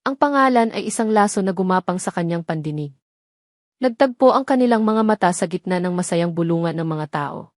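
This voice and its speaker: A woman reads a scripted romance as a dramatic storyteller. Her voice sits at a middle pitch. The sound is close and dry. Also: a little mellow, no room sound.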